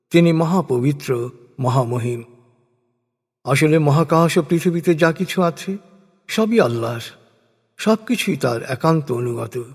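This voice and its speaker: A man narrating translated scripture in a solemn, measured, formal way, phrase by phrase with falling endings. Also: on the high side, a little mellow, slightly rough. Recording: long reverberant hall effect.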